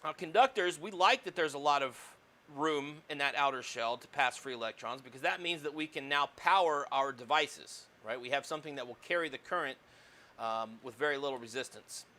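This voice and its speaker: A man teaching an electronics lecture on a lapel mic, talking loudly and projecting his voice, with lots of fillers and frequent tag questions. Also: medium pitch, brisk. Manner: loud projecting voice, says he yells. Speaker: college electronics instructor. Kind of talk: recorded lecture.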